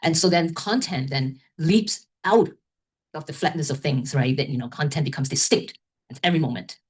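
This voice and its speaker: A woman's voice: low voice, moderate pace, husky. A woman moderating an academic event's discussion, rambling and often checking in with "right?". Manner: rambling, tags remarks with "right?".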